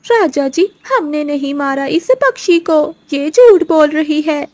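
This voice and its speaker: Woman as a shrill grumbling lazy mother crow. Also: expressive, measured pace, dry recording. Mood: defensive. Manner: complaining, snappish. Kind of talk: Hindi moral-story cartoon acting.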